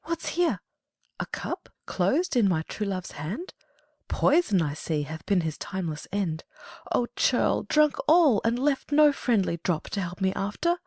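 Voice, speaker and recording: Woman playing passionate young noblewoman, lively swooping pitch, dry close recording, emotional Shakespearean drama. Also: a little slow, no room sound. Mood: grief-stricken. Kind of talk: theatrical Shakespearean audiobook acting.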